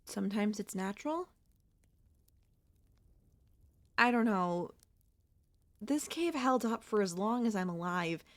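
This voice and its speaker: Woman acting as young female centipede monster girl, gentle, anxious, wistful, soft hesitant delivery, mid pitch, ASMR audio roleplay, dry close-mic sound. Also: lively intonation, moderate pace, dry recording, lightly acted. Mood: alarmed, suspicious.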